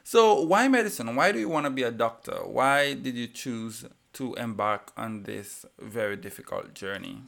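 A man's voice: medium pitch, expressive, normal speed, gravelly, hardly any pauses, fairly bright tone. A man hosting a podcast, reading a formal introduction and asking questions. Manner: reads a formal introduction, then asks questions. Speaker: podcast host. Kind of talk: interview.

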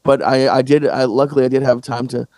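A man hosting a casual podcast, talking in halting bursts with many restarts and fillers. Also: mid-range voice, little pitch movement, darkish tone, no room sound. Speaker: podcast host and stand-up comic.

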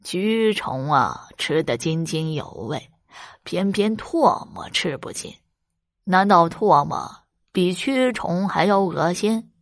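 Mocking old beggar man, low and gravelly, drawn out, read by a woman storyteller. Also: big pitch swings, no echo, studio quality, heavily acted. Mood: sneering. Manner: slow, drawling, mocking. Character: scornful old male beggar, secretly an underworld official.

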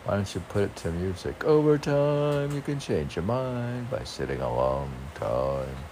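Very low, slow-talking man reading poetry. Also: very animated, a little mellow. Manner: rambling, wry, talking back to himself. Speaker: poet reading own work. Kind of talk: reading short poems aloud with casual self-questioning commentary. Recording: noticeable background noise.

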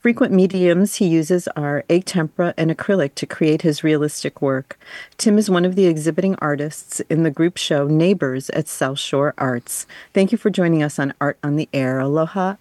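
A female co-host of a radio arts show, introducing a guest in an earnest, measured way. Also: deep voice, darkish tone, dry recording.

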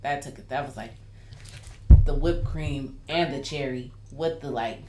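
A woman's voice: very deep voice, measured pace, heavy background noise. A woman co-hosting a casual chat, playful and teasing, laughing often. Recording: echoey room.

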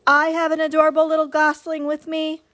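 Woman playing a polite, precise high-voiced robot. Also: monotone, a little fast, a bit bright. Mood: cheerful, booming. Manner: formal, precise, no contractions. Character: friendly, polite female robot, earnest and literal.

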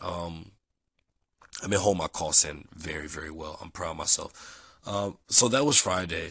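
Low husky young man, stuffy with a cold. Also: no echo. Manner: relaxed, rambling with many ums. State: sick, nasal and dried out. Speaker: vlogger. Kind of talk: casual audio log.

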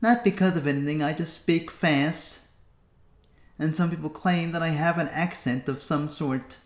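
A man from the United States hosting a solo podcast, musing to himself with long pauses. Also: fairly high pitch, roomy sound. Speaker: podcast host. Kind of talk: solo reflective podcast monologue.

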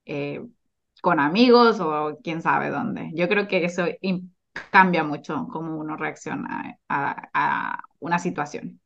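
A woman's voice: low voice, slow pace, gravelly. A woman with a Latin American accent chatting casually as a podcast guest, a little hesitant, on slightly dull lo-fi audio. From Venezuela and Chile. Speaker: podcast guest, Spanish teacher. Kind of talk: casual interview chat.

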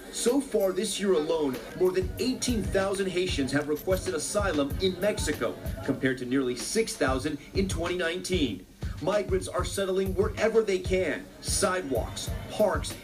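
A man with a distinctly high voice narrates a scripted news report. He builds it in dramatic, measured phrases, with music playing clearly underneath. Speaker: news correspondent.

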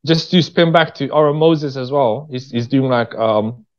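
A man's voice: mid-range voice, moderate pace. Candid man, slightly muffled recording. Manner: candid, slangy, emphatic with repeated phrases. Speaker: interview guest, screenwriter and director. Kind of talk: casual chat.

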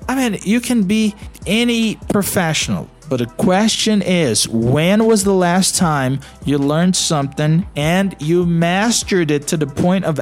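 A man from Brazil with a Brazilian accent, hosting an English-learning podcast in a conversational, encouraging teaching style, often checking in with "you know" and "okay?". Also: a little high. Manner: encouraging, asks listeners questions, frequent "you know" and "okay?".

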